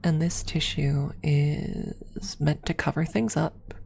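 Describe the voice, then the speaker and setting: Husky, low-pitched woman chatting in soft ASMR. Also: slow pace. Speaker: ASMR streamer. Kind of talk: ASMR storytelling, casual chat.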